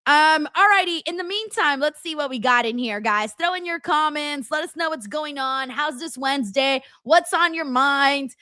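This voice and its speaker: A woman hosting a live stream, chatting casually with her viewers and reading out their comments, with a very high-pitched voice. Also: moderate pace, few pauses, pushed and bright, no room sound, clean studio sound. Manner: chatty, reads viewer comments aloud with filler words. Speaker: streamer and host.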